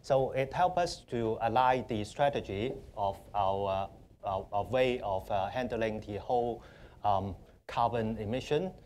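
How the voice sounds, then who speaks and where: Hong Kong-accented man, halting panelist. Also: on the low side, a little mellow, slight background noise. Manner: halting, many fillers and restarts. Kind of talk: panel discussion. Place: conference hall stage.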